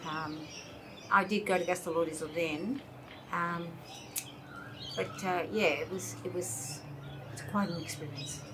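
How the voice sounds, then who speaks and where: Woman in her sixties, lowish voice, easygoing, clear background noise. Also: measured pace, pauses often. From Australia. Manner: relaxed, agreeable, brief replies. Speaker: interview guest. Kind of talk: casual reminiscing conversation. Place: indoor room by large windows.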